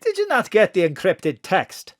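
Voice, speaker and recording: A woman's voice: medium pitch, huge pitch swings, normal speed, dry recording, clean studio sound. A woman playing a secretive, conspiratorial member of a secret society in an audio drama, speaking cryptically. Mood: guarded.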